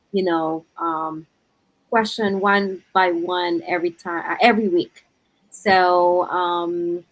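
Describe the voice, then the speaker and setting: A woman's voice: on the low side, rough recording. A woman from the Philippines with a Filipino accent, casually hosting an online livestream. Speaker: host.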